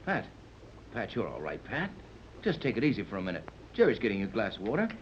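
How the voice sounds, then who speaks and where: A man playing a steady, protective sea captain and inventor in a 1930s radio drama, commanding and reassuring. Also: fairly low pitch, fast. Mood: urgent, reassuring. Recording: muffled, noisy 1930s radio recording.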